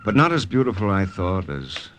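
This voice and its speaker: A man's voice: sits low, sing-song, normal speed, slight background noise. An actor playing a wary American secret agent who narrates his mission in an old radio drama, terse and understated, with an American accent. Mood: reflective. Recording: vintage radio drama recording with music.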